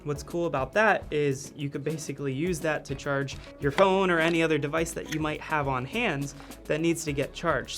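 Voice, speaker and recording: A man's voice: fairly high pitch, fairly bright tone. A man casually reviewing video gear in his office studio, explaining things step by step in a friendly way.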